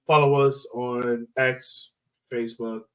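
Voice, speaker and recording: A man's voice: mid-range voice, flat delivery, takes it slowly. A man hosting a sports podcast in casual, chatty, slangy talk. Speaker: podcast host. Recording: voice slightly far from mic.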